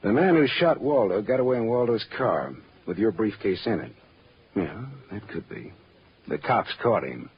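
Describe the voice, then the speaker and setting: An actor playing a wry, hardboiled American private eye in an old radio drama, cool and sardonic, on a muffled, noisy recording. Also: male voice, fairly low pitch, expressive, moderate pace. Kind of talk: mid-century radio drama acting.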